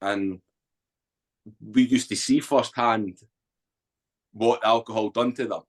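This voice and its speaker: A man's voice: fairly low pitch, fairly even intonation, slow. A man in his forties from Edinburgh with a Scottish accent, a podcast guest telling his life story in a blunt, earthy way with frequent ums. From Scotland. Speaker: guest, author and former soldier. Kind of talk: candid storytelling interview.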